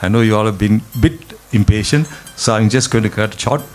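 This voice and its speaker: A man briskly reading an award induction, with an informal lead-in. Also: fairly low pitch. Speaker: award presenter.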